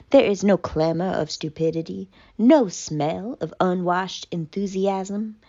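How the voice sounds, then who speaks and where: Woman acting as melodramatic female cat diva, haughty, wounded, theatrical monologue, unhurried delivery, slightly dull lo-fi sound. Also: on the low side, a little mellow. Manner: pompous, mock-tragic, deliberate pauses.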